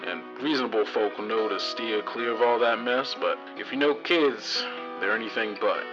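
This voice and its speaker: A man's voice: lowish voice, moderate pace, highs cut off, close to an everyday voice. A man playing a wry, fatherly radio host in a post-apocalyptic audio drama, talking in an easygoing, folksy way. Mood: wry, caring. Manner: folksy, easygoing, drawling storyteller phrasing. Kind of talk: in-character radio broadcast monologue. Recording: thin band-limited radio sound under music. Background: guitar music.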